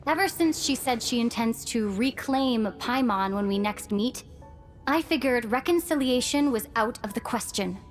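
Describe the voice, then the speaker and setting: A woman's voice: a little high, lively intonation, normal speed, soft background music, lightly acted. An actress playing a thoughtful young female traveler in a video game, speaking in a composed, earnest, reflective way. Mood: composed, sincere.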